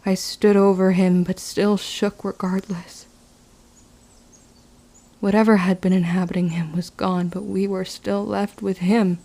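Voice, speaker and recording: A woman playing a grim, resolute young witch in an audio drama, measured and solemn in delivery. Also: medium pitch, level tone, warm tone. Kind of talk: scripted first-person audio drama narration.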